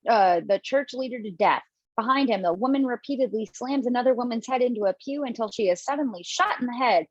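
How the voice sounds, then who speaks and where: A woman's voice: a little high, no echo. A woman reading stage directions in scripted narration at a table read, now and then stumbling over words. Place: remote online table read. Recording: dull, dry lo-fi call audio.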